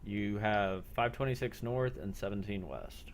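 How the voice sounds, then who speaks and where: A man's voice: on the low side, lively intonation, unhurried, slight background noise. A man running a role-play game as game master, describing scenes off the cuff in a matter-of-fact way. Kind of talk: improvised tabletop-style game narration. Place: online group game session.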